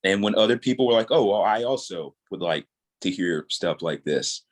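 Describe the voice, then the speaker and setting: A man's voice: on the low side, lively intonation, normal speed. A man in his thirties talking casually and earnestly as a guest in a podcast interview. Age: 30s. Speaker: guest, audio drama creator.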